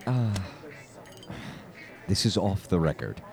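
An actor playing a nervous, formal scientist in an audio drama, explaining himself hesitantly and earnestly. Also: male voice, sits low, big pitch swings, takes it slowly, gravelly, heavily acted. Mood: guarded, explaining. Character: nervous male scientist, evasive, earnest about his invention.

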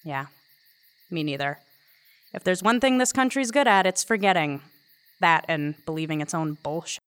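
A woman's voice: fairly low pitch, normal speed, natural voice. An actress playing an outspoken, sarcastic young female researcher in an audio drama, speaking bluntly and articulately. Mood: bitter, sarcastic. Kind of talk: naturalistic audio drama acting. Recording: long hall reverb.

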